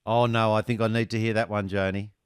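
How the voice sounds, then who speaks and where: A man's voice: lowish voice, little pitch movement, fast, smooth, no room sound, clean studio sound. A man phoning relatives, prompting them for family stories with friendly, brief replies. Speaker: caller interviewing relatives. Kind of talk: casual phone chat.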